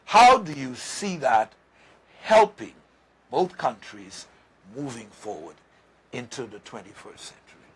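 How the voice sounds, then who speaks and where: A man hosting a conversational interview, quick to agree and laughing along with his guest. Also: mid-range voice, very animated, fairly bright tone, slight background noise. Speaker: interview host.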